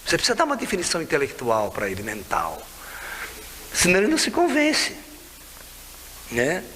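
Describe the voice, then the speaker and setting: A man's voice: on the high side, a little fast. A man in his sixties with a Brazilian Portuguese accent, a tai chi teacher telling stories in a casual way, laughing often and checking in with his listeners. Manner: lively, laughs often, keeps asking "né?" and "sabe?". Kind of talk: casual storytelling to camera. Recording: reverberant room with faint hiss.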